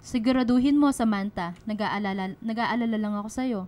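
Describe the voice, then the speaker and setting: Woman acting as worried Filipino mother, highish voice. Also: fairly quick, darkish tone, close to an everyday voice. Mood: worried scolding. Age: middle-aged. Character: worried, caring middle-aged single mother of two. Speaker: radio drama actor. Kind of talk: Filipino radio drama acting. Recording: music behind the dialogue.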